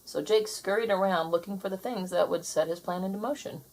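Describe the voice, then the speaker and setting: A woman reading a children's story aloud as an expressive storyteller, in scripted narration. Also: mid-range voice, brisk, a little hiss or hum.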